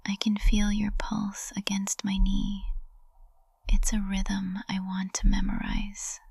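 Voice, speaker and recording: A woman's voice: medium pitch, monotone, gravelly, a little mellow, slightly rough, dry recording, heavily acted. A woman playing a lovestruck witch professor in an intimate ASMR roleplay, whispering tenderly and breathily, close to the microphone. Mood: soft adoration. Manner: slow, tender, intimate delivery. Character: lovestruck high witch professor, usually cold and composed, tender.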